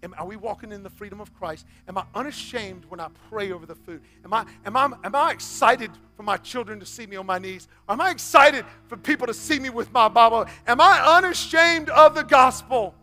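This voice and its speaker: A man in his forties, a pastor preaching a sermon on a church stage, swinging from loud exhortation to soft, near-whispered appeals. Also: extremely high pitch, animated, brisk, hardly any pauses, faint music behind.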